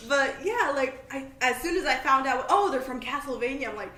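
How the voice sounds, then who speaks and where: A woman's voice: high-pitched, expressive, fairly quick, pushed and bright. A woman hosting a podcast, chatting casually in a rambling, self-deprecating way. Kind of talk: casual chat. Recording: echoey room, faint background noise.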